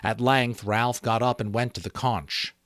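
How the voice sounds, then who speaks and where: A man hosting and narrating a book aloud, theatrical and expressive, with casual asides. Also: medium pitch, unhurried, dry recording, clean studio sound. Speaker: audiobook reader and host.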